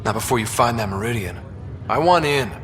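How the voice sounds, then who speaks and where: A man's voice: mid-range voice, lively intonation, brisk, natural voice. A man playing a wry, wistful young soldier in a sci-fi video game, speaking in a reflective, conversational way. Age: young adult. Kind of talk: naturalistic video game dialogue acting. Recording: reverberant room, loud ambient hum behind.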